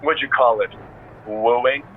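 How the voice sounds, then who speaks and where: A man's voice: mid-range voice, fairly even intonation, slow pace, phone-quality sound. An actor playing a goofy, boastful male podcast co-host in a video game, joking and teasing, with band-limited sound. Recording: thin band-limited sound, faint noise.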